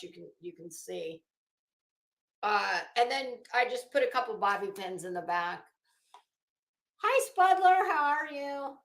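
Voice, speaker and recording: An American woman hosting a livestream, chatting casually with viewers in a sarcastic, blunt, sweary and animated way. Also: fairly high pitch. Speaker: livestream host. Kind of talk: casual chat with live viewers. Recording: roomy, echoey sound.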